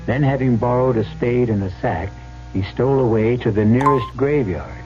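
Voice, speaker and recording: A man hosting and narrating an old radio mystery in a measured, solemn, ominous storytelling style. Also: mid-range voice, a little mellow, slight background noise. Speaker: host and narrator. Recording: muffled vintage radio recording. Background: prominent music.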